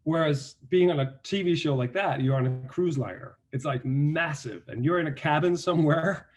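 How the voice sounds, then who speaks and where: A man's voice: on the high side, normal speed. Danish man chatting about acting. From Denmark. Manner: relaxed storytelling with many restarts and fillers. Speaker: actor and guest. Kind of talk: casual interview talk.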